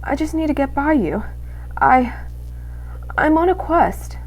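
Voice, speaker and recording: A small, earnest male mouse from a storybook, played by a woman reading aloud. He speaks in a halting, trembling way, pitched up and lilting. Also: very animated, poor audio. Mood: nervous, earnest.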